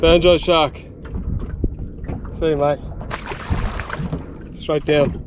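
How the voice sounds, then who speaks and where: A man's voice: fairly high pitch, level tone, extremely slow, lo-fi sound. A young Australian man giving casual, laid-back vlog commentary in a matey, colloquial way while out on an open boat. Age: young adult. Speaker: fishing vlogger. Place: outdoors on a small open boat at sea. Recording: noisy outdoor recording on open water.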